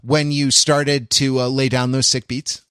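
A man hosting a casual, joking podcast, rambling along with halting starts and self-corrections. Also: medium pitch, little pitch movement, fairly bright tone, clear, resonant tone, no room sound, clean studio sound. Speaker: podcast host.

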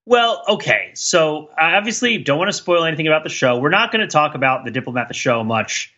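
A man's voice: on the high side, lively intonation, brisk, pushed and bright. A man co-hosting a podcast in casual chat, discursive and analytical, on slightly lo-fi audio. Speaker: podcast host. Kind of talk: casual chat about TV shows.

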